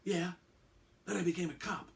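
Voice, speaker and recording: A man voicing a gruff, skeptical male police detective who is blunt, exasperated and swears freely. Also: sits high, normal speed, pitched above the performer's own voice.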